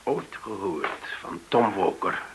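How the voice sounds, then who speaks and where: Sharp, menacing radio-play interrogator. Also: male voice, sits low, animated, slow, husky, exaggerated voice, poor audio. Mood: pressing, accusing. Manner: commanding, sardonic, pressing. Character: tough, menacing male interrogator with dry mockery. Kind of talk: radio drama acting. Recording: hissy old radio play recording.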